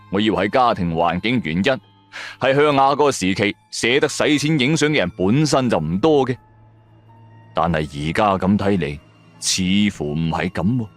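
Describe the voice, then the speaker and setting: A man with a Cantonese accent narrating a radio drama in the first person, with colloquial, theatrical delivery. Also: medium pitch.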